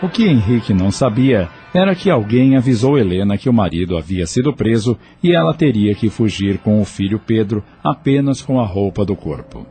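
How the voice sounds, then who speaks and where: Deep expressive male narrator. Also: faint music behind. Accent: Brazilian Portuguese. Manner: measured, expressive storytelling. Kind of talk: scripted audio drama narration.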